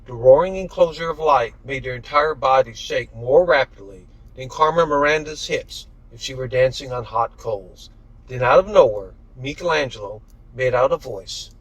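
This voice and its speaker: A man's voice: medium pitch, expressive, moderate pace, slightly rough, no echo, low-quality recording. A man reading his own novel aloud as scripted audiobook narration.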